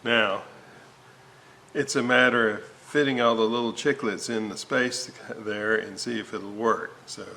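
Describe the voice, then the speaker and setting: A man giving an informal repair walkthrough at a workbench, halting and thinking aloud as he works. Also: lowish voice, steady tone, pushed and bright. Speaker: host of a radio restoration video series. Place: indoor workbench, hands working on components. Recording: noticeable background noise.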